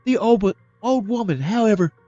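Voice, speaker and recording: Male storybook reader, fairly high voice, plain steady reading; muffled dry recording with soft music bed. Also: normal speed, no room sound. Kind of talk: reading a fairytale aloud.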